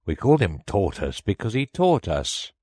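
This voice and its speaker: A man voicing a melancholy, easily offended turtle creature from a storybook, in a deep, hollow tone full of sighs. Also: expressive, no echo, clean studio sound. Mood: irritated. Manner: slow, mournful, sighing. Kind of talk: storybook character reading.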